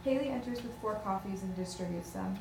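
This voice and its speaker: A woman's voice: mid-range voice, fast. A woman reading out stage directions as scripted narration at a table read. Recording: echoey room with clear noise.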